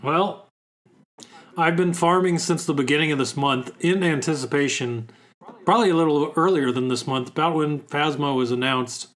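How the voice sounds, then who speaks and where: A young man co-hosting a podcast in casual chat, slightly hoarse, laid-back and joking. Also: a little high, moderate pace, a bit bright. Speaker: podcast co-host.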